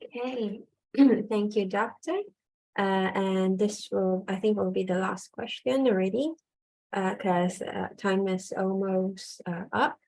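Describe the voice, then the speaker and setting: A woman's voice: mid-range voice, slow, creaky, darkish tone, poor audio. A woman emcee moderating an online conference over a video call, polite and hesitant with many fillers.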